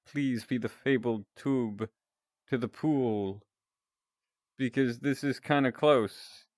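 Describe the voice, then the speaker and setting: A man with a deep, announcer-like voice, chatting casually with viewers while he plays a game. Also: takes it slowly, no room sound, studio quality. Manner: relaxed, rambling, chatting to viewers. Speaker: streamer.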